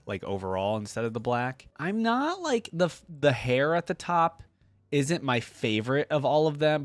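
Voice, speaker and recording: Guy doing a chill reaction channel thing, normal mid male pitch, thinking out loud, real dry sound with no room on it. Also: no room sound. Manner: informal, thinking aloud in hedged opinions. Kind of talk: casual reaction commentary with some reading aloud.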